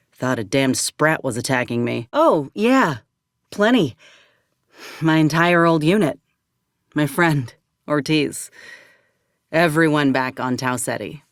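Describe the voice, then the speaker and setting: Low-voiced, wry soldier woman. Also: no room sound. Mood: wry, resigned. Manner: folksy, dry, plainspoken. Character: tough, wry, weathered female soldier companion in space. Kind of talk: video game character dialogue.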